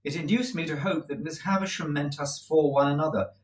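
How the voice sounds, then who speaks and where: A man's voice: on the high side, fairly quick, recorded from a distance, voice pushed up, lightly acted. A man reading aloud as an earnest young man who pleads in a trembling, devoted way. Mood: pleading. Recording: roomy echo.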